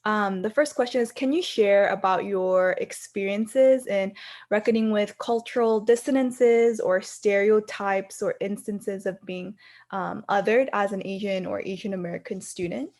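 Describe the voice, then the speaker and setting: A woman's voice: medium pitch, steady tone, unhurried, darkish tone. A woman moderating an online webinar panel over a video call, in a friendly, um-filled way.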